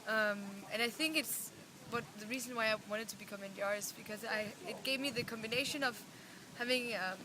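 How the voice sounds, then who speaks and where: Casting: young Danish woman, higher voice, halting informal answers, noticeable ambient noise. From Denmark. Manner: hesitant, searching for words. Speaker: youth organization representative. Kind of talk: casual interview answer. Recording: clear ambient background noise.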